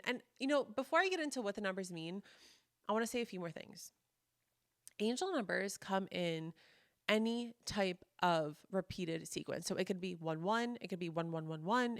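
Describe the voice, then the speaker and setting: A woman in her thirties telling personal stories in a chatty, casual monologue, full of fillers and animated quoting of others. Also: mid-range voice, no room sound, clean studio sound. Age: 30s. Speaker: podcast host and coach.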